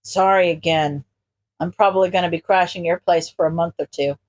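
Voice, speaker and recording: Gruff male hero, acted by a woman, pitched down, curt, weary, grumbling, profane, audiobook character. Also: fairly even intonation, brisk, no room sound, close to an everyday voice. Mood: grumbling, apologetic.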